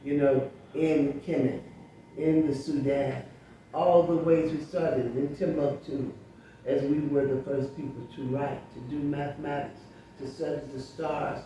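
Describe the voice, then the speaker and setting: A woman's voice: sits low, steady tone, unhurried, warm tone. Older woman, very echoey distant recording. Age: 70s or older. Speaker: interview guest, sociologist and teacher. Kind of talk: reflective conversational interview. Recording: very echoey room, distant mic, faint noise.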